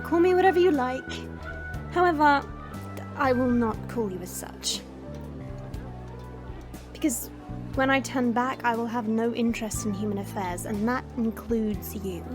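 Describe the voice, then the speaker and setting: A woman's voice: on the high side, unhurried, long pauses, music in the background, lightly acted. A woman playing a proud, guarded dragon princess in human form, speaking in a formal, imperious way in an audio fantasy drama. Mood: haughty, aloof.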